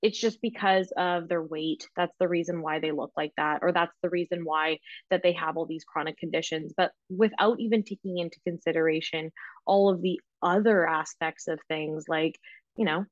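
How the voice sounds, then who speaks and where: A young woman speaking over a laptop microphone from a small room at home, her voice sitting a little low and slightly muffled. She talks in a loose, animated way, full of filler words, as a guest in a casual interview. The sound is close and dry. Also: normal speed, dry recording. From Canadian prairies. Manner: animated, gesturing, many filler "like"s. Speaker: registered dietitian guest. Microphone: laptop microphone on video call.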